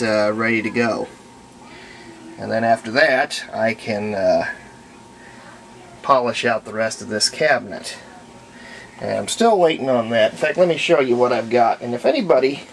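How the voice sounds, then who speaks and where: Halting hobbyist talking through repairs, noisy recording. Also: mid-range voice. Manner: halting, thinking aloud while working. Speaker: radio restoration hobbyist demonstrating repairs. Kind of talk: casual hands-on workshop demonstration. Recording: noisy room recording with ambient sound.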